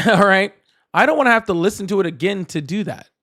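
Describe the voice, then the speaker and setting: A man hosting a casual political call-in livestream, animated and chatty, laughing often and checking in with "all right?" and "okay?" as he reads chat and argues with callers. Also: sits high, fast talker. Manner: argumentative with callers. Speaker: livestream host.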